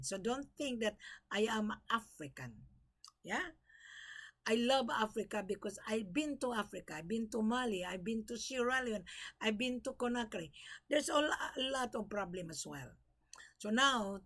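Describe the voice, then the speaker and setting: An older woman from the Philippines giving emphatic, repetitive warnings and advice straight to her viewers. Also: fairly high pitch. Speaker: gold brokerage vlogger. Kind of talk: direct-to-camera advice talk.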